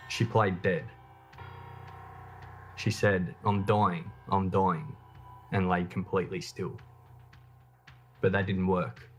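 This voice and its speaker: Low-pitched man narrating true crime. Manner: measured, matter-of-fact storytelling. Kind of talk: scripted documentary narration.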